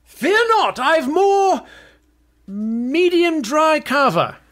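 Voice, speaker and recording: Swooping high-pitched British washed-up rock star. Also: male voice, sing-song, extremely slow, a bit bright, exaggerated voice. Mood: exuberant, boastful. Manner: theatrical, sweary, boastful. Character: washed-up middle-aged male rock star, brash and boozy. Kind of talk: comedy audio drama acting.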